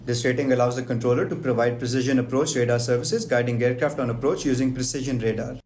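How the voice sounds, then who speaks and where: A man delivers a scripted aviation lesson against the clock. He moves fast and reads technical terms in steady, list-like runs. A faint background hiss sits under his voice. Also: medium pitch. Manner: brisk, even, list-like reading of technical terms. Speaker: aviation explainer host. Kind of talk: scripted educational narration, just-a-minute format.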